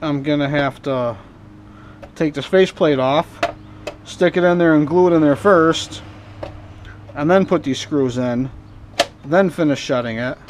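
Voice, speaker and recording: A man's voice: fairly high pitch, darkish tone, a little hiss or hum. A man narrating a hands-on repair casually, muttering to himself in folksy, informal speech. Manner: talks to himself in muttered asides. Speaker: host of hobby repair and scrapping videos. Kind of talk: casual rambling workbench narration while working.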